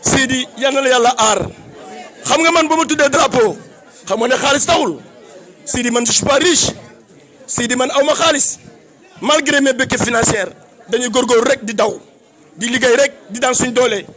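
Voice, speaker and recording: A man's voice: extremely high pitch, moderate pace, pauses often, fairly bright tone, a faint crowd. A man with a Senegalese accent giving an impassioned public praise speech, declamatory and emphatic, with repeated phrases. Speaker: political activist giving a tribute.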